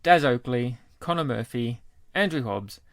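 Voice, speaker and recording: A man co-hosts a casual podcast in a mid-pitched voice, reading off lists briskly and plainly. The sound is close and dry. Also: expressive, a bit bright, no room sound. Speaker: podcast co-host. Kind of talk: casual chat.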